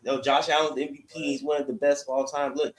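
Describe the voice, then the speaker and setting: A man's voice: medium pitch, flat delivery, quick pace, rough recording. A man co-hosting a sports podcast, giving casual, opinionated takes emphatically and arguing through rhetorical questions.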